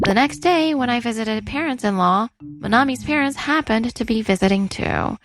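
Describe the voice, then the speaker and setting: An earnest young wife played by a woman tells her story in a dubbed manga drama. Her voice sits on the high side and sounds wounded. Music plays softly underneath. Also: lively intonation, moderate pace, lightly acted, low-quality recording. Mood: worried. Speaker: narrator and lead character. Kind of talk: scripted first-person story narration and dubbed dialogue.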